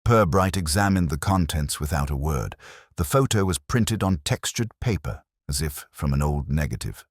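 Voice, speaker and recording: A very low-pitched man narrates a mystery story in a measured, dryly understated way. His delivery is lively in pitch, and the recording is close and dry. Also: dry recording.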